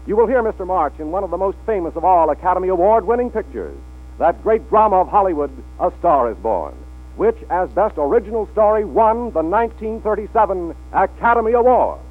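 A man's voice: high voice, lively intonation, fairly quick. A man announcing in a grand, formal 1940s radio style, on a tinny old recording with music. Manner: grand, declamatory.

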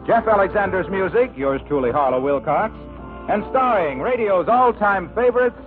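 Male announcer, mid-century radio narration, very animated, phone-like band, faint music. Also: high voice, normal speed. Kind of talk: 1940s-50s radio announcing, scripted narration. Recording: tinny old radio recording.

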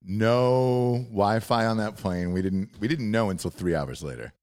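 A man's voice: lowish voice, a little slow, a little mellow. A man co-hosting a sports podcast, trading casual, jokey banter with some swearing.

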